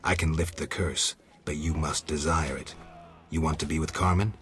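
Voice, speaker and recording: A stoic monster hunter for hire in a fantasy game. The voice is extremely low, and the lines come out terse and deadpan, with a world-weary flatness. Faint music plays underneath. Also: male voice, normal speed. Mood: terse, calm. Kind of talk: video game dialogue acting.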